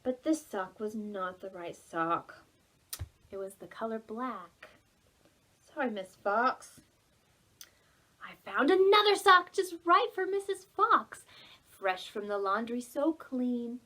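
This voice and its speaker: Animated, high-pitched woman reading to preschoolers. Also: takes it slowly. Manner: expressive, playful, speaking directly to young children. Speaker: children's storytime librarian. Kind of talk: animated preschool storytime read-aloud.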